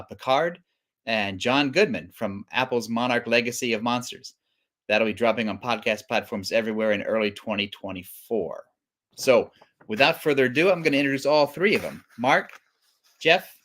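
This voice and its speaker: A man's voice: medium pitch, a bit bright. Casual, wry man hosting a stream. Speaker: guest host of a comics stream. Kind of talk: casual live-show hosting.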